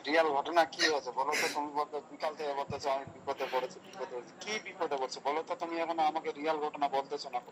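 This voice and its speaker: Fast-talking man on a phone loudspeaker. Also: a little high, fairly even intonation, a crowd in the background, poor audio. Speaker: friend receiving a prank call. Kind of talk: casual phone conversation. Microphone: heard through phone loudspeaker. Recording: phone on loudspeaker, faint noise.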